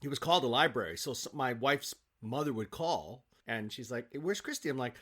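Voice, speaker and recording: A man's voice: medium pitch, lively intonation, a little fast, a bit bright. A man chatting casually as a podcast guest, telling anecdotes in an enthusiastic, amused way.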